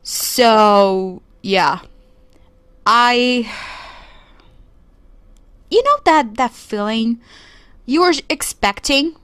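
A young woman from Brazil speaks English, hosting a podcast alone in an earnest, reflective way. She talks at an unhurried pace with pauses as she searches for words. The recording is dry and close, with no room sound. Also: on the high side, animated, a bit bright, clear, resonant tone, no echo. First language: Portuguese. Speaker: podcast co-host. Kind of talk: solo podcast commentary.

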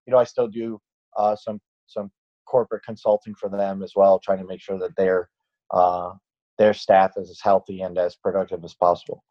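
A man's voice: sits low, little pitch movement, normal speed, muffled recording. A man in his late twenties, a fitness trainer, chatting casually on a podcast and rambling with many fillers and restarts. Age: late 20s.

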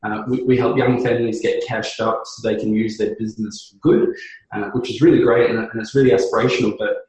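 A man's voice: lowish voice, level tone, a little fast, darkish tone, heavy reverb, low-quality recording. A man in his thirties from New Zealand, a business co-founder talking earnestly as an interview guest, with many fillers and restarts. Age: 30s. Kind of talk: conversational podcast interview.

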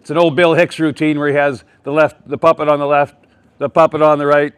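A man addressing a live town hall audience with a loud, emphatic voice, rambling and often restarting his sentences. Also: fairly high pitch, little pitch movement, a little fast, smooth. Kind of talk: public speech to a live audience. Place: public meeting with a live audience.

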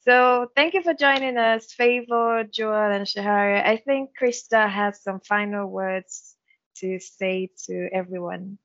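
Creaky-voiced woman moderating an online panel. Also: medium pitch, measured pace, fairly bright tone, no echo. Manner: chatty, giggly, loose phrasing. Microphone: video call. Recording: dry, muffled video-call audio.

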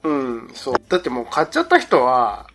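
男性ホスト、ややかすれたきしむ声. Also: male voice, medium pitch, huge pitch swings, brisk, gravelly, fairly bright tone, faint music behind. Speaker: podcast host. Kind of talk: casual marketing discussion.

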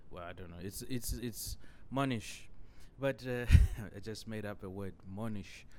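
A man's voice: lowish voice, measured pace, a little mellow, slight background noise. A man telling a childhood story on his own podcast, rambling and saying "you know" constantly. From Zimbabwe. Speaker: podcast host. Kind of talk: casual solo podcast storytelling.

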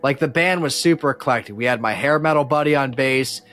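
A man's voice: mid-range voice, a little fast, a bit bright, soft background music. A man chatting casually as a podcast guest, telling stories about his life as a musician in a slangy, rambling way with frequent false starts.

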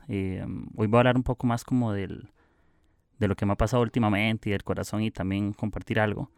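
A man's voice: fairly low pitch, darkish tone, no room sound, clean studio sound. A young man with a Costa Rican accent hosting his own podcast, talking in a casual, reflective and confessional way. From Costa Rica. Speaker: podcast host.

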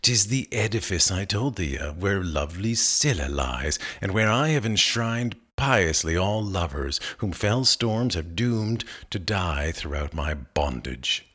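An old enchanter of epic poetry, voiced by a male reader, urgent and mysterious. The voice is low and swings widely in pitch as he exclaims and commands in archaic verse. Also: expressive, unhurried, few pauses, a bit bright, husky, full character voice. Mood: solemn. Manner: exclamatory, archaic poetic speech. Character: aged sage, mysterious enchanter, excited and urgent.

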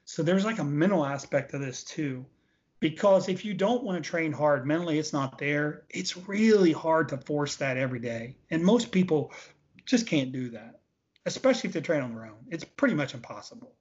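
A man's voice: on the high side, expressive, moderate pace, a little mellow. A man in his late forties giving casual, emphatic interview answers, repeating points for stress. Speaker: interview guest, veteran bodybuilder. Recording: dull, lo-fi audio.

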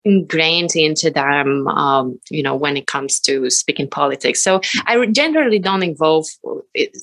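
A woman from Bulgaria chats as a guest, relaxed and easygoing, pausing often to find her words. Her voice sits somewhat low for a woman. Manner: relaxed, chatty, hesitant fillers. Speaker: guest, techno DJ and pianist. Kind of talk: casual interview chat.

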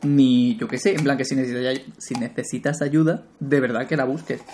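Give me a young man co-hosting a chatty podcast, easygoing and jokey, a steady middle-pitched voice, munching cereal as he talks. He keeps things loose and teasing, sometimes slowing down to make a serious point. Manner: laid-back, jokey, eating cereal while talking. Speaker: podcast co-host. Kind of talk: casual podcast chat.

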